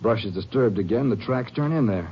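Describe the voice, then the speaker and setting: A man's voice: medium pitch, animated, a little fast, natural voice. Casting: man playing a folksy, colloquial Ranger sidekick, medium register, vintage radio serial, muffled and noisy. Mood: puzzled, wary. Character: plain-spoken male Ranger sidekick who knows the country. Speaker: radio actor. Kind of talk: 1950s radio drama acting. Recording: noisy, muffled old-time radio recording.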